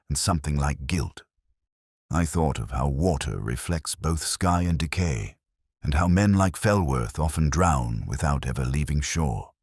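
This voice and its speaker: Very deep, brooding actor as detective. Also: male voice, darkish tone, dry recording, studio quality, full character voice. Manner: literary, reflective, melancholy, measured. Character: brooding, intellectual older male Oxford police inspector. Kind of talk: scripted first-person detective drama narration.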